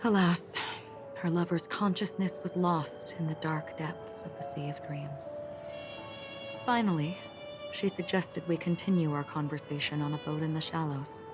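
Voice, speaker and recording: An actress voicing a calm, melancholy wandering swordswoman in a video game, telling stories in a measured, reflective way. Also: low voice, natural voice. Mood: wistful, reflective. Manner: measured, wistful, reflective storytelling. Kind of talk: scripted video game story dialogue. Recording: music behind the voice. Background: game background music.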